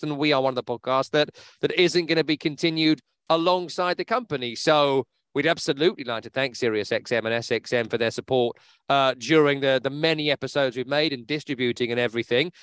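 A man's voice: medium pitch, brisk, a bit bright, clean tone, no room sound. A man hosting a football podcast, talking in a chatty, upbeat way with many fillers. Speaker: podcast host. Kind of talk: casual podcast chat. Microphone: remote podcast mic.

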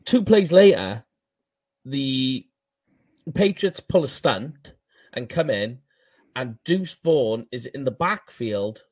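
A Welsh man with a Welsh accent co-hosting a fan podcast, talking football in a casual, animated way and laughing at himself. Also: mid-range voice, takes it slowly, pauses often, dry recording. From Wales. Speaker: podcast co-host. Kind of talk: casual sports chat.

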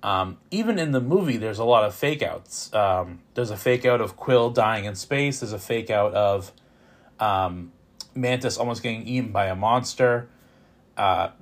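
A man reviewing films in casual, unscripted talk, opinionated and informal, with a halting delivery that repeats words as he goes. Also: on the low side, expressive. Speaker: film reviewer.

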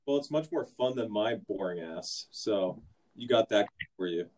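Mellow-voiced male podcast host. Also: lowish voice, lively intonation, measured pace. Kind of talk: casual sports podcast chat.

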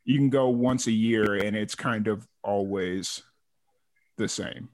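A man's voice: on the low side, takes it slowly. A man from Chicago hosting an interview, talking in a rambling, friendly way with lots of "uh" and restarts. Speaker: host. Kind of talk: casual interview chat.

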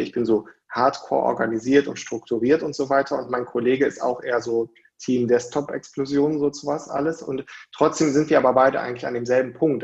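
A man's voice: mid-range voice, creaky, muffled recording. A man advising a prospective doctoral student in a casual conversation, chatty and long-winded, with many fillers.

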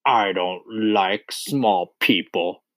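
Guy doing a massive scary storybook headmistress, loud barky bully, dry close recording, hates little kids. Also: lowish voice, very slow, dry recording. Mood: contemptuous. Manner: bellowing, barking, contemptuous. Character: huge bullying headmistress who despises small children.

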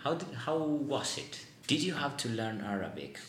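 Lively, playful male podcast host. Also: mid-range voice, moderate pace, a little hiss or hum. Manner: jokey, theatrical, talks to himself in mock asides. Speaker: podcast host, student ambassador. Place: Netherlands.

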